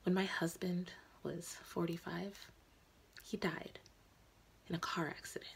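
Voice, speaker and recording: A woman playing a sorrowful, immortal young woman in a dramatic monologue, confessing haltingly in a breathy, hushed voice. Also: lowish voice, little pitch movement, whispered, voice pushed down. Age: young adult. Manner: halting, intimate confession with long pauses.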